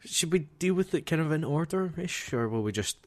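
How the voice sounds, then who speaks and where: A man's voice: on the high side, lively intonation, fairly quick, darkish tone, dry recording. A man co-hosting a casual podcast, teasing his friend and laughing often. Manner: teasing, laughs a lot, wry. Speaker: podcast co-host. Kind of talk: casual chat.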